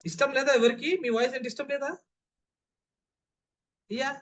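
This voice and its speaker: A man's voice: very high-pitched, level tone, normal speed. A man leading an online voice modulation class over a video call, who keeps putting questions to his listeners and repeating them. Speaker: voice modulation trainer. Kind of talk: interactive online class talk.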